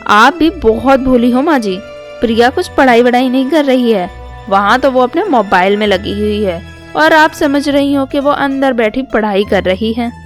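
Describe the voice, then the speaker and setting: A woman's voice: fairly high pitch, normal speed, faint music behind, lightly acted. A woman voicing a sly, gossipy young daughter-in-law who feigns innocence in a storybook tale. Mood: sly tattling.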